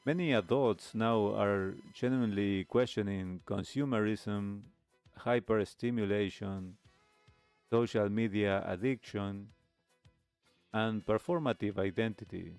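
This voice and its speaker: A man hosting a talk show, delivering a reflective monologue in measured, deliberate phrases with pauses. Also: on the low side, a little mellow, clear, resonant tone, faint music behind. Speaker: radio show host.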